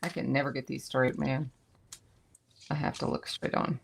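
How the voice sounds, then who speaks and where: A Southern woman with a low, gravelly voice thinks aloud while making cards on a live stream. Her chat is relaxed and easily amused, and a faint hiss sits under it. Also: moderate pace. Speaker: co-host. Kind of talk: casual livestream craft chat.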